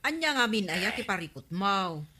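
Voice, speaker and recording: A woman's voice: medium pitch, big pitch swings, normal speed, pushed and bright. A woman playing a frank, nosy village friend in a radio comedy drama. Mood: prodding.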